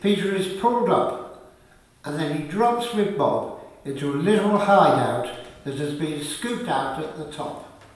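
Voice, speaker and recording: A man's voice: on the high side, expressive, unhurried. A man reading scripted narration for a radio play. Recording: very echoey room, far from mic.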